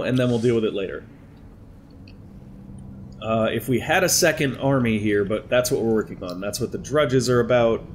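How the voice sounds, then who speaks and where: A man's voice: mid-range voice, slight background noise. A young man playing a strategy game on a headset mic, slightly congested from allergies, talking through his moves aloud in a chatty way. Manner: chatty, thinking aloud while planning moves. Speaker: gaming commentator. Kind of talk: let's play strategy game commentary.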